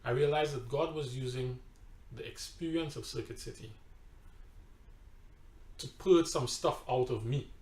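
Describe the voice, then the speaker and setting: A man's voice: medium pitch, unhurried, long pauses, a little mellow. A pastor with a Trinidadian accent telling a personal testimony in conversation, emphatic and storytelling, sometimes repeating his words. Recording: roomy echo.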